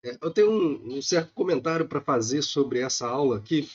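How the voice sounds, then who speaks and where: A man with a Brazilian accent gives a recorded online economics lecture, a little hoarse from a cold, talking informally with many filler sounds. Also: mid-range voice, fairly quick, lo-fi sound. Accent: Brazilian Portuguese.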